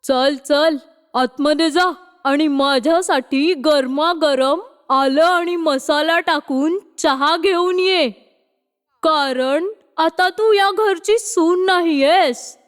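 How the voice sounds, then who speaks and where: Woman acting a cruel, high-voiced mother-in-law, reverberant. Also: slow. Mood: scornful, bossy. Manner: taunting, repeats a catchphrase. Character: cruel scolding mother-in-law, older woman, bossy and mocking. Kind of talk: cartoon moral story voice acting. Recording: long hall-like reverb.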